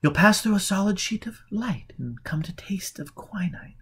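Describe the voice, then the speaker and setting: A man reading the part of a calm, forgiving woman in an audiobook, gently and patiently giving guidance. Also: high-pitched, moderate pace, gravelly, husky, voice pushed up, full character voice. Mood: gentle, guiding.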